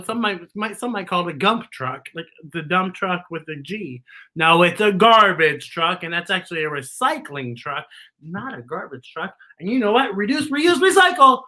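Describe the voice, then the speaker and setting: A woman's voice: on the low side, sharp tone. A woman hosting a children's story time in a playful, theatrical, giggly manner, teaching letters. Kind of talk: playful children's show presenting with letter lessons and singing.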